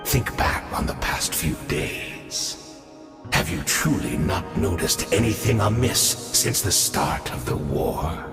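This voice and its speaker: Menacing abyss herald for a fantasy game: low, slow, pompous and condescending, drenched in echo. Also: male voice, sing-song, exaggerated voice. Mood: scornful. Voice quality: deep, gravelly. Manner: theatrical, pompous, condescending, drawn-out. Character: grandiose, menacing male Abyss herald villain. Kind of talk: fantasy video game voice acting. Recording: echoey, long reverb, music behind.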